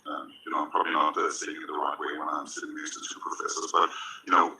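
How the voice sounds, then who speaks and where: A man's voice: rumbling low, little pitch movement, fast, noisy background. An older man with a Danish accent speaking as a panelist on a conference stage, conversational and self-deprecating, turning to address the audience. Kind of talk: panel discussion.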